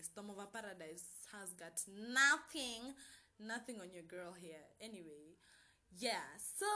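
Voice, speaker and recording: A woman's voice: mid-range voice, sharp tone. Hyped-up kenyan girl in her 20s, podcast chat, bubbly and chatty. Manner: bubbly, hyped, mixes Swahili slang into English. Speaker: podcast host. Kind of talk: casual solo podcast intro.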